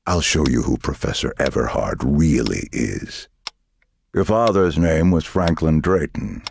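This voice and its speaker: An actor playing a menacing, condescending male government interrogator in a dramatic video game, speaking deliberately. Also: a little mellow, a little hiss or hum, exaggerated voice. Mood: cold, insinuating. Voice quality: deep, gravelly.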